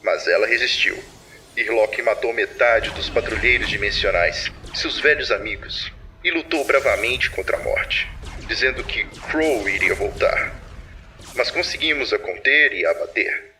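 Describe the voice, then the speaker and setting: A man playing a grave superintendent of a secret paranormal patrol, dictating case files formally and deliberately in an audio drama. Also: mid-range voice, sharp tone, a little hiss or hum, poor audio. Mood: somber, resigned. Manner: solemn, formal, deliberate storytelling. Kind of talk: scripted audio drama narration.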